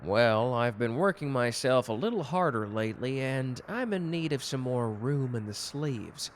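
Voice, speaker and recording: Man playing a young male love interest, medium pitch, casual, intimate, teasing, bashful, romantic audio roleplay. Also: animated, normal speed, a little hiss or hum, natural voice. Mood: relaxed, polite.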